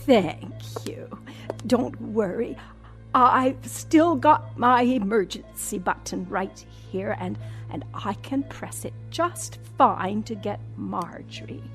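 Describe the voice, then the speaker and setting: An actress playing a genteel, condescending old woman recovering from a stroke in an audio drama, with breathy, halting, deliberate speech. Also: mid-range voice, a bit bright, faint music behind. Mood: sweetly insistent.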